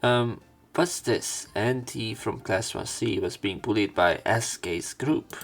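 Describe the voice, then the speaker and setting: Low, slightly gravelly man narrating a game. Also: takes it slowly, a bit bright. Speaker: let's play narrator and commentator. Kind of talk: reading visual novel text aloud with casual asides. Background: faint game music.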